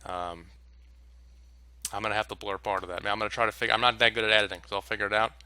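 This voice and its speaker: Bright-voiced man ranting to camera. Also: lowish voice, fairly even intonation, fast, clear, resonant tone, dry recording. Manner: exasperated, swears a lot. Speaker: vlogger. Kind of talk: casual storytelling rant to camera.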